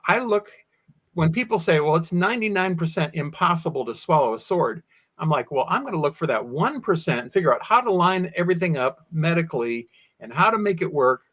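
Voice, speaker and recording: A man with a somewhat high-pitched voice speaks as a guest in an interview. He is talkative and tells personal stories, often quoting himself as he goes. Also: animated, brisk, a bit bright. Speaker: guest, sword swallower. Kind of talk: animated anecdotal interview storytelling.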